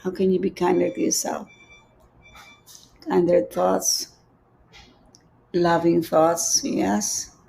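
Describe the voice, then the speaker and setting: A woman with a Filipino accent giving a gentle, repetitive spiritual teaching on a livestream, reading out viewer comments. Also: on the low side, level tone, slow, vocal fry, long pauses, husky, poor audio. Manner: gentle, repeats phrases, reads viewer comments aloud. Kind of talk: live-streamed spiritual teaching. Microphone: livestream setup.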